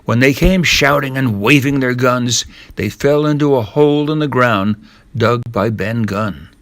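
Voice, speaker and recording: A man's voice: mid-range voice, measured pace, fairly bright tone, no room sound. Older man reading a storybook, dry sound. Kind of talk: scripted storybook narration.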